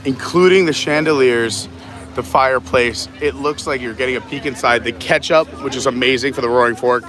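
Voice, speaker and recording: A man from New York hosting an excited live walkaround stream outdoors at a resort, gushing over what he sees. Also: medium pitch, a little fast. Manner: enthusiastic, gushing.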